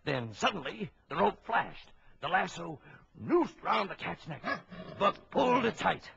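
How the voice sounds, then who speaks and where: A man's voice: high voice, big pitch swings, unhurried, creaky, a bit bright, exaggerated voice. A man playing an authoritative ship's captain who narrates a suspenseful animal adventure for a children's story record. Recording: old lo-fi children's record, music behind.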